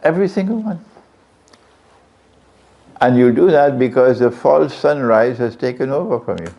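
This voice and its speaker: Male preacher, gravelly, animated delivery, dull lo-fi lecture tape. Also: medium pitch, normal speed. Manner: rhetorical questions to the audience, repeats key phrases. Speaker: Islamic preacher. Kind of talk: religious lecture to a live audience. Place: lecture venue.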